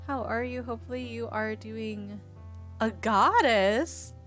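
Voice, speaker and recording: A young woman streamer with a Midwestern American accent talks slowly and loosely to her chat, giggling often and wandering from thought to thought. She sits at a desk mic at home with faint music playing behind her. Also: a little high, fairly bright tone. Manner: giggly, rambling, reads chat aloud. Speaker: Twitch streamer. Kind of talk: casual chat with stream viewers.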